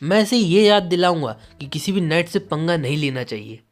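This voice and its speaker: A man's voice: on the high side, expressive, a little fast, soft background music, lightly acted. A man voicing an irritated, proud knight with a threatening, indignant manner in a manga story. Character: irritated proud male knight, a menacing duelist.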